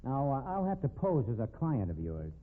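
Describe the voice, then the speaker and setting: A man's voice: mid-range voice, wildly swooping pitch, moderate pace. An actor playing a crooked, bullying private investigator in a 1950s radio drama, with slangy, sneering tough-guy talk. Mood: smug, scheming. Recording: tinny band-limited 1950s radio recording.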